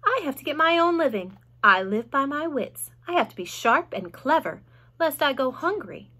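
Charlotte the spider, bright and bold, put on by a woman. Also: normal speed, heavily acted. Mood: sharp. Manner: lively, sudden high exclamations, some hushed whispered asides. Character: clever bold female barn spider, matter-of-fact.

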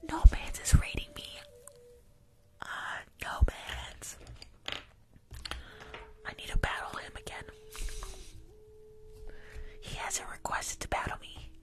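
A woman's voice: slow pace, pauses often. Whispering young woman doing ASMR. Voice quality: breathy whisper. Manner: soft, repeats phrases. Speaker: ASMR live streamer. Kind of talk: ASMR, casual chat with viewers. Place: small indoor room. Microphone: desk microphone at close range.

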